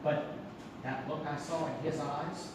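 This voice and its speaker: A woman's voice: sits low, steady tone, a little slow. A woman playing an earnest, worried longtime wife giving a dramatic account in a live radio drama. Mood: earnest, troubled. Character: earnest, worried wife of sixteen-year marriage. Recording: very echoey theater, distant mic, noisy.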